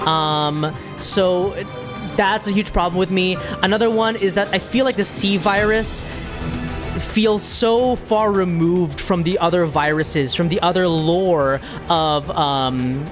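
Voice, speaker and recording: A game streamer chatting casually and thinking aloud while giving opinions to viewers in a conversational way. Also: medium pitch.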